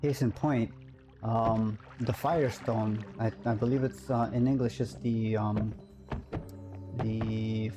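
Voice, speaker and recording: A man streaming a game live, chatting casually and musing aloud, often correcting himself. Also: on the low side. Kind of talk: casual live-stream chat while playing.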